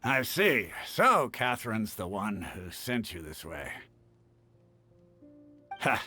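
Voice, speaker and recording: An actor playing a frank, hearty older retired mercenary advisor in a video game, plain-spoken. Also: male voice, fairly low pitch, very animated, slow, pushed and bright, breathy edge, no echo, soft background music, exaggerated voice. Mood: welcoming, hearty.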